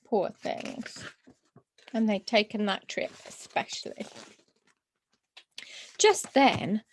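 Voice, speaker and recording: Female children's story reader, slow paced with very lively, varied intonation. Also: fairly high pitch.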